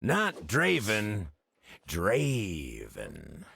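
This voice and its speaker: An actor playing a boastful, vain and gleeful executioner in a video game, with a gravelly, theatrical showman's delivery. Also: lowish voice, wildly swooping pitch, extremely slow, very noisy, exaggerated voice. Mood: cocky, taunting. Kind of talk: video game character voice lines.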